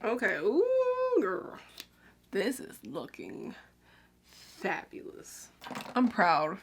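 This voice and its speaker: A young woman chatting casually while she does her makeup, rambling with lots of "like" and "um" and drifting quieter as she works. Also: medium pitch, huge pitch swings, extremely slow, husky. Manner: talks while applying makeup, drifts quieter then brighter. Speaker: beauty vlogger. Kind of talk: casual get-ready-with-me makeup chat.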